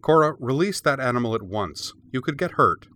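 Man as a stern, testy female master, bright-voiced. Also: medium pitch, expressive, moderate pace, pitched above the performer's own voice. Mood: testy, commanding. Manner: clipped, commanding, scolding. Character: stern older female martial arts master, testy and cold.

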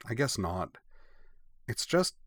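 A man's voice: medium pitch, expressive, moderate pace, no room sound, studio quality, pitched above the performer's own voice, natural voice. A man voicing a brash, impatient teenage schoolgirl in an audiobook, blunt and exasperated. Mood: frustrated. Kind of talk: audiobook character dialogue.

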